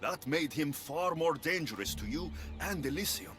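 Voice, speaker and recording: A man playing a determined adventurer hero in a video game, earnest, measured and persuasive. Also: a little high, animated, music in the background, close to an everyday voice. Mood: urgent, persuading. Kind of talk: video game cutscene acting.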